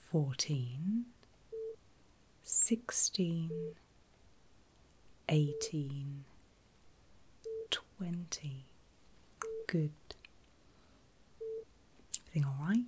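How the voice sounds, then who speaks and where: A woman whispering in a breathy, soothing and reassuring way, as a caregiver in an ASMR medical roleplay. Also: low voice, low-quality recording. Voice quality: breathy, mostly unvoiced whisper. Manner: soothing, reassuring, slow and deliberate. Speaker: ASMR performer playing a surgical nurse.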